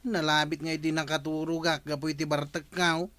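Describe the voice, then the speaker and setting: A man's voice: a little high, little pitch movement, brisk, fairly bright tone, no echo, natural voice. An actor playing a robbed, hard-drinking village man who whines and blames the captain in a radio comedy drama. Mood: aggrieved.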